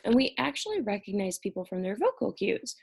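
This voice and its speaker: A woman's voice: a little high, big pitch swings, a little fast, creaky, background noise. A young woman recording an exam review lecture at home, explaining each topic conversationally while sounding stressed and short on sleep. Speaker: college instructor.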